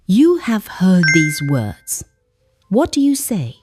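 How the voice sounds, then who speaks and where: A woman's voice: huge pitch swings, takes it slowly, over loud music. A woman voicing a stern, commanding village king in a folktale, declaiming his orders. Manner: booming, declamatory.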